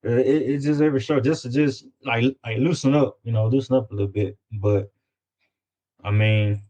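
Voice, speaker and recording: A man's voice: mid-range voice, fairly even intonation, moderate pace, warm tone, rough recording. A man chatting casually as an interview guest, informal and often repeating words as he searches for phrasing. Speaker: guest, independent rapper. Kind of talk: casual chat.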